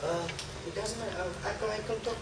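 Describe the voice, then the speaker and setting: A man from Albania answering an audience question on a panel, halting and thoughtful. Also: high-pitched, steady tone. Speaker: panel speaker. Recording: echoey hall, distant mic, loud background noise.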